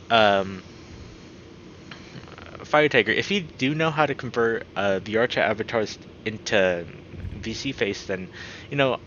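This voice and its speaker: A man hosting a game livestream, chatting casually and excitably with his viewers. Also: mid-range voice, highs cut off, slight background noise. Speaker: game streamer and host. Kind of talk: casual livestream chat while playing a visual novel.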